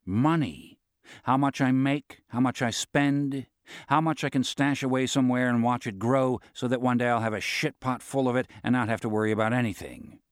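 A man's voice: medium pitch, normal speed, no room sound, clean studio sound, close to an everyday voice. A man reading a brash, greedy lawyer. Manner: blunt and needling, swears casually. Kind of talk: audiobook dialogue reading.

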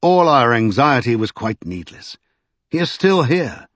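A man voicing a confident, persuasive gentleman magician in an audiobook, with emphatic old-fashioned speech. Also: on the high side, animated, brisk, breathy edge, no room sound, voice pushed up. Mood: triumphant, impatient.

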